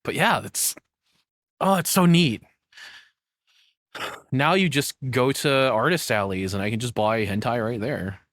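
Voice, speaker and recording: A man's voice: medium pitch, a little slow, pauses often, a bit bright, dry recording, clean studio sound. A man giving casual reaction commentary as a streamer, rambling along in informal, slangy speech.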